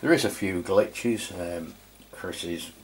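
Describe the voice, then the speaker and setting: A man's voice: low voice, slow, a little hiss or hum. A man giving an informal, matter-of-fact product review as a channel host.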